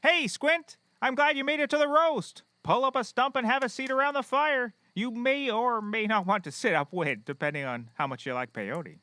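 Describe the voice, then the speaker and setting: A man's voice: very high-pitched, moderate pace, hardly any pauses, bright, forceful tone, no echo. A man playing a folksy, self-pleased, dubious private eye in a scripted audio comedy, jaunty and exaggerated. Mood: jovial. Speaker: lead character.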